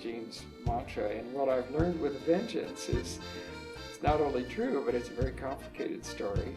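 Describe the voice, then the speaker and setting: A man's voice: mid-range voice, lively intonation, moderate pace, poor audio. A man on a geology trip reflecting thoughtfully, with some hesitation. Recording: music underneath.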